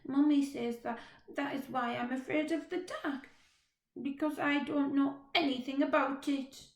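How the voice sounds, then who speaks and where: A woman voicing a timid but touchy baby barn owl boy who speaks slowly and carefully, in a roomy, echoey room. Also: high-pitched, steady tone, muffled recording, pitched above the performer's own voice. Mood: prim. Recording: roomy echo.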